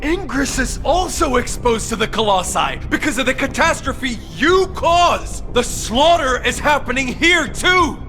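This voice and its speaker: An actor playing a defiant, outraged young hero in a video game, throwing out strained, forceful demands. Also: high voice, lively intonation, a little slow, few pauses, music in the background. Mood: angry, defiant. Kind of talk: dramatic video game cutscene acting.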